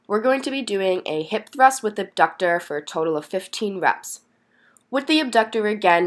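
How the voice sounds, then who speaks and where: Stuffy-sounding woman giving workout instructions. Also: lowish voice. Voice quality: slightly stuffy, congested. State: stuffy from being sick. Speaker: fitness vlogger and workout instructor.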